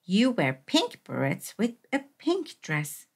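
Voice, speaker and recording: Woman reading as practical storybook mom, unhurried. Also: mid-range voice, wildly swooping pitch, vocal fry, full character voice. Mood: reasoning. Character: practical mother gently correcting her small child. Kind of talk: storybook read-aloud.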